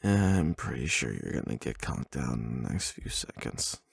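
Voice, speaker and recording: Really deep husky guy doing slow bedtime asmr, soft and close up, super dry sound. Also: very animated, dry recording. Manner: hushed, unhurried, intimate. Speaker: ASMR boyfriend roleplay performer. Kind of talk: soft bedtime reading and intimate ASMR roleplay.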